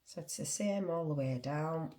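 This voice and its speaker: A woman's voice: sits low, moderate pace, warm tone. A woman with a Northern English accent, congested with a cold, casually talking through a crochet demonstration as she works. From England. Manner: chatty, talks to herself while working. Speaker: crochet tutorial host.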